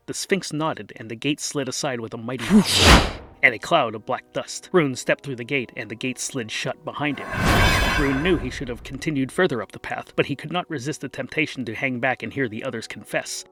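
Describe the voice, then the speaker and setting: A man reads a comic fantasy audiobook in a bright, forceful voice. His pitch is mid-range and his storytelling is wry and dramatic, over faint music. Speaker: narrator.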